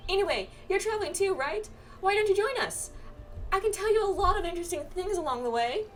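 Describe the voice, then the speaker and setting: Excitable, squeaky high little spirit girl. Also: brisk. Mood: eager. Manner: gushing, bubbly. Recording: distant mic, game music behind.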